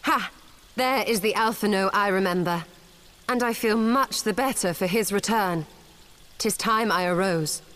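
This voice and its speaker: An actress playing a gracious, scholarly female mage in a fantasy video game, speaking in formal, measured, archaic phrases. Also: medium pitch, a bit bright, lightly acted. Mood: reassuring.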